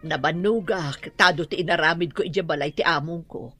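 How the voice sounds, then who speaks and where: A woman's voice: low voice, a little fast, sharp tone, soft background music. A woman acting a humble, long-suffering older housemaid and mother in an Ilocano radio drama. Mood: weary.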